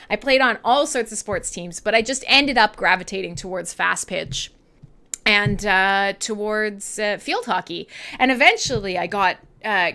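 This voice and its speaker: A woman's voice: medium pitch, sharp tone. A woman with a Western Canadian accent hosting an online radio show, chatting casually and irreverently with listeners, with plenty of swearing.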